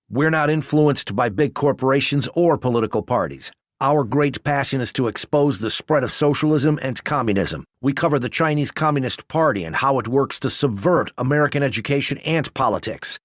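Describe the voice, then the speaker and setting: A man delivers scripted advertising copy. His pitch is average for a man, and the sound is very dry, free of any room reflections. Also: normal speed, no room sound. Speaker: advertisement announcer.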